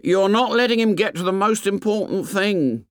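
A man's voice: high-pitched, lively intonation, moderate pace, clear, resonant tone, no room sound, studio quality, voice pushed up. A man voicing an anxious, insistent schoolboy with a pleading, colloquial manner in an audiobook. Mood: indignant. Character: anxious, insistent schoolboy with childish grammar, indignant. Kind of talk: audiobook character voice.

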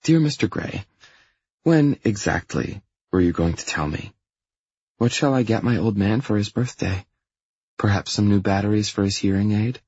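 A man's voice: fairly low pitch, normal speed, darkish tone, slightly rough, no room sound, highs cut off, pitched above the performer's own voice. A man voicing a teasing young woman who scolds her boyfriend in an audiobook story. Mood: playful.

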